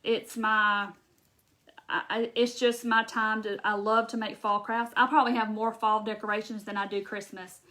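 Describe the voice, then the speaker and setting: A woman's voice: mid-range voice, level tone, normal speed, bright, forceful tone. A woman with a Southern accent hosting a live craft demonstration, chatting straight to her viewers and answering their comments as she works. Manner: rambling with restarts and laughs. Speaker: craft video host.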